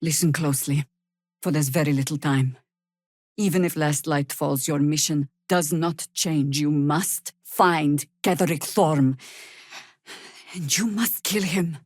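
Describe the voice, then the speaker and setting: A woman's voice: low voice, fairly even intonation, dry recording. An actress playing a world-weary older female druid warrior in a video game, dry, wry and commanding. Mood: grave, urgent. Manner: dry, wry, measured and grave.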